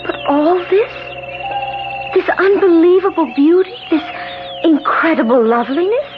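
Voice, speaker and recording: An actress playing a frail, dying young woman in a 1970s radio drama, halting, breathy and emotional. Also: extremely high pitch, lively intonation. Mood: awed wonder. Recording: muffled old radio broadcast with music.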